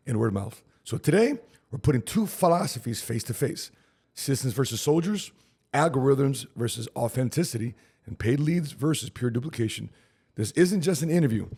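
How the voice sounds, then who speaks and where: A man with a husky voice hosting a podcast interview in a punchy, slangy way. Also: medium pitch, darkish tone. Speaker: podcast host and insurance agency founder. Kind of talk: hyped podcast introduction and casual interview.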